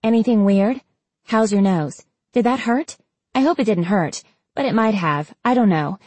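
A woman voicing an eager young female dragon scientist in an audiobook, chattering in an excited, inquisitive, rambling way. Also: mid-range voice, unhurried, no echo. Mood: anxious curiosity.

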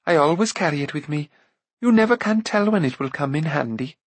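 Brief: man voicing a spirited young princess, teasing and tart, lifted pitch, lively and husky. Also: fairly quick, no echo. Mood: matter-of-fact.

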